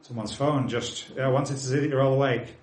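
A British man in his forties preaches to his congregation, earnest and exhorting, with a mid-pitched voice. He slips easily into friendly asides. The sound rings around a roomy church space. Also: steady tone, a little fast, very noisy. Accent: British English. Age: 40s. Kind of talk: sermon.

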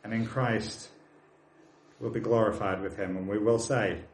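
Earnest British preacher in an echoey hall. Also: male voice, fairly low pitch, fairly even intonation, a little slow. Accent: British English. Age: 40s. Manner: earnest, exhorting, with plain asides to the congregation. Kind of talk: sermon.

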